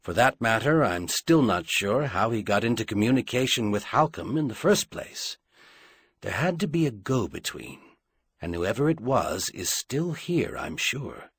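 A man voicing a calm, loyal royal advisor in an audiobook, quiet and deliberate as he reasons things through. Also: fairly low pitch, husky, no room sound, exaggerated voice. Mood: sober, apologetic.

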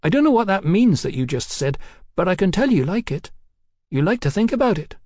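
A man voicing a high-pitched cheeky London showgirl. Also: sing-song, brisk, darkish tone, no room sound, clean studio sound. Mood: curious, cheeky. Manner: cheeky, blunt, colloquial.

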